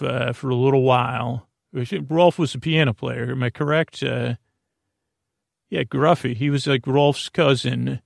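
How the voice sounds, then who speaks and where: A man hosting a sleep podcast, with a creaky, gravelly voice, rambling in a meandering, halting monologue that often stops and restarts. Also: medium pitch, no echo, clean studio sound. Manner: meandering, halting, self-deprecating.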